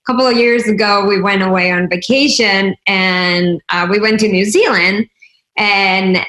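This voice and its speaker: A woman from Venezuela talks as a guest on an interview show. Her voice sits at a medium pitch, and she explains her own experience earnestly and conversationally. The recording is noticeably roomy, with echo around her words. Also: steady tone, measured pace, fairly bright tone. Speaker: interview guest, wellness consultant. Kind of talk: conversational personal storytelling. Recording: roomy, echoing sound.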